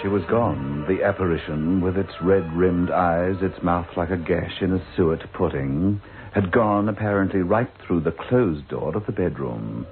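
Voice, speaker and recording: An actor playing a grave, wry storyteller, narrating a radio ghost drama in a deliberate, theatrical way. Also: male voice, deep voice. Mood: ominous. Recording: tinny radio recording with music underneath.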